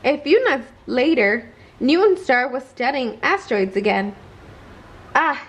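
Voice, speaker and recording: A woman reading a children's story aloud in an expressive bedtime read-aloud style. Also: high-pitched, normal speed. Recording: clear background noise.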